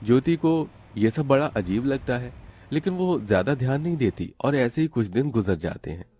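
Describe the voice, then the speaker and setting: A man's voice: medium pitch, lively intonation, normal speed, warm tone. A man narrating a scripted family cartoon story as the storyteller.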